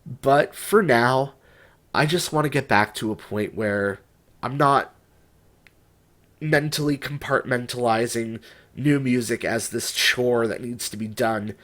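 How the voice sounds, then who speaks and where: Young male vlogger, congested from a cold, mid-pitched, hesitant and rambling with lots of restarts. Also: slow, a bit bright. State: stuffed up, recovering from a cold. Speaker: music writer and YouTuber. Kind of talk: unscripted vlog monologue.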